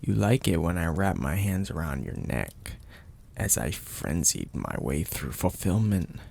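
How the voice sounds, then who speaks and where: A man playing a possessive, domineering mafia boss in an intimate ASMR roleplay, speaking deliberately and with teasing menace in a gravelly, husky, breathy voice. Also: low-pitched, sing-song, a little mellow, heavily acted. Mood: seductive, smug. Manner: slow, deliberate, menacing, florid wording.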